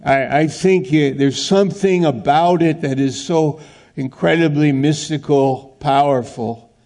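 A man's voice: on the high side, measured pace, a little mellow. A man preaching an earnest sermon who asks rhetorical questions and acts out scenes.

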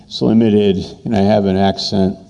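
A man preaching a sermon as a pastor, emphatic and repetitive, with pauses between phrases. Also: lowish voice, flat delivery, gravelly, warm tone.

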